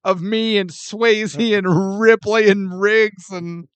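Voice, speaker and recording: A man co-hosting a casual film review chat, animated and jokey, often slipping into mock voices. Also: high voice, slow pace, clean tone, dry recording. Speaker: podcast co-host.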